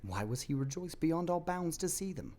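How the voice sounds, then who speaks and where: A man narrating a classic tale for an audio play, with expressive, measured phrasing and dramatic pauses. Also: a little high, slight background noise. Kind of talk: dramatic scripted storybook narration.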